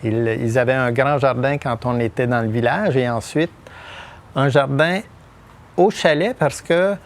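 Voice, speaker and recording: A middle-aged Québécois man speaking as a podcast guest. His voice has a gravelly, creaky edge. He pauses often with fillers and restarts as he builds his answers. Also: mid-range voice, slight background noise. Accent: Québécois French. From Quebec, Témiscamingue. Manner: hesitant, many fillers and restarts. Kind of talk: podcast interview.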